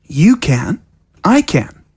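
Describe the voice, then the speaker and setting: A woman's voice: on the low side, big pitch swings, very slow, a little mellow, slightly rough, exaggerated voice. A woman playing a pleading, scheming young zombie girl held captive, in an audio drama. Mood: scheming, urgent.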